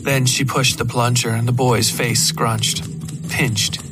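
A man's voice: mid-range voice, flat delivery, slow pace. A man reading scripted horror fiction as the narrator of an audio story. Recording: prominent music under the voice.

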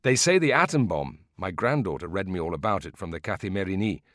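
A man voicing an old Greek war veteran in a storybook, who tells his tale in a dramatic, digressive, drawn-out way. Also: deep voice, animated, a bit bright, dry recording, clean studio sound. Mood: rambling.

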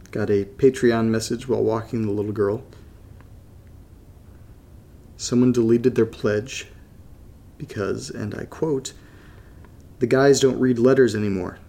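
An American man playing an anxious, brooding young podcaster in a horror audio drama, confiding in a halting, casual, gravelly voice. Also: medium pitch, warm tone. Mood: reluctant.

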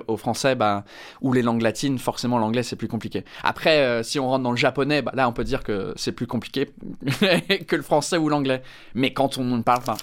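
Englishman speaking French with English accent. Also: medium pitch. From England. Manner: hesitant, many fillers and restarts. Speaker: stand-up comedian. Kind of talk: interview answers.

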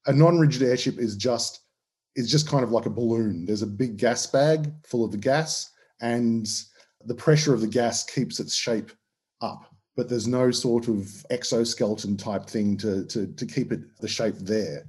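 Australian male historian, dark mellow voice, hesitant and full of fillers. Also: medium pitch, breathy edge. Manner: hesitant, many ums and restarts. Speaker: historian guest. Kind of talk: explaining in an interview.

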